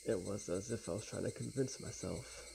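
A quiet, laid-back young man with a gravelly, often breathy voice, streaming a game with casual talk and soft reading aloud. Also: fairly low pitch, a little fast, heavy background noise. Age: young adult. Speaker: let's play streamer. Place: small indoor room with blinds.

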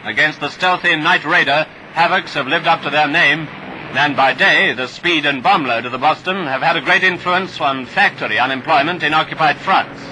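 A man's voice: fairly high pitch, normal speed, background noise. A British man narrating a scripted 1940s wartime training film in a jaunty, wry, clipped manner, on a vintage soundtrack.

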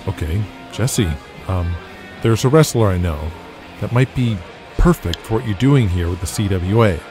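A man's voice: fairly low pitch, expressive, moderate pace, darkish tone, faint music behind, lightly acted. A man voicing a respectful, starstruck young wrestling fan, hesitant and polite. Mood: hesitant, earnest.

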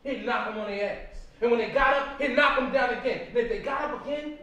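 A man's voice: very high-pitched, quick pace, pushed and bright, very echoey. A young man on a theater stage acting an angry, self-justifying abusive boyfriend, slangy and emphatic, captured from far off. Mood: agitated, defensive. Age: young adult. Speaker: stage actor. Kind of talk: stage play acting, emotional monologue. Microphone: distant camera.

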